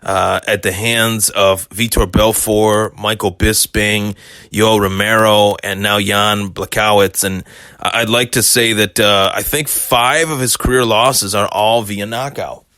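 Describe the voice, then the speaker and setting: A man hosting a solo sports podcast, hoarse and a bit hungover, rambling through fight reactions with many fillers and restarts. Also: fairly low pitch, fairly even intonation, unhurried, bright, forceful tone, no echo. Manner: rambling, repeats himself, frequent fillers. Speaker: podcast host.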